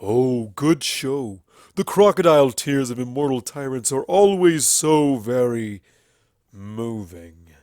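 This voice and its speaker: A man voicing a bitter male winged pony villain in a dramatic story reading, with drawn-out, venomous sarcasm. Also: medium pitch, expressive, darkish tone, no echo, clean studio sound. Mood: mocking.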